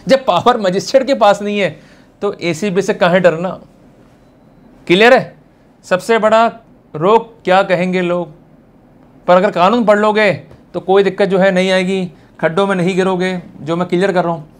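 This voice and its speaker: A man's voice: high voice, fairly even intonation, moderate pace. A man with an Indian accent giving an informal law lecture in an emphatic, colloquial way, often throwing out rhetorical questions.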